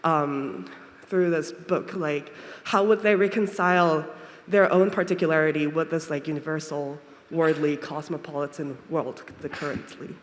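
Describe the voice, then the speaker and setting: A woman's voice: sits low, steady tone, moderate pace. A woman from Japan asking a long, winding question from the audience, hesitant and full of fillers. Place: lecture hall, audience microphone. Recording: reverberant hall sound.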